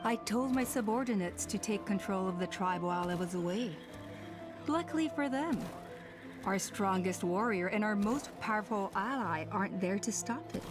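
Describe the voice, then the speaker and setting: A woman's voice: medium pitch, close to an everyday voice. A woman playing a coolly menacing female villain leader. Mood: cool menace. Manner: measured, condescending, commanding. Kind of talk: video game voice acting. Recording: game dialogue over background music.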